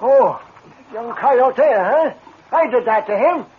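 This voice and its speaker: An actor playing a feisty, excitable old prospector, cranky and boastful, in an old radio western. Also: male voice, very high-pitched, slow, heavily acted. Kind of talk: 1940s-50s radio western acting. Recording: muffled old radio recording, faint hiss.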